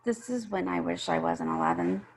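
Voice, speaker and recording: A woman's voice: on the low side, normal speed, gravelly, slight background noise. A woman reading a first-person children's story aloud, emphatically and with acted-out feeling. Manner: emphatic, acts out the characters.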